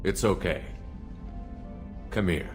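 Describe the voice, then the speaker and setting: A man's voice: sits low, slow pace, over music. A man playing a human military commander in a video game, speaking in a firm, blunt and authoritative way. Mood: reassuring, resolute.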